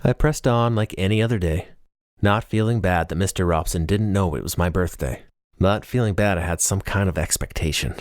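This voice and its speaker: A man's voice: sits low, fairly quick, no echo, studio quality. A man reading a first-person novel as scripted audiobook narration.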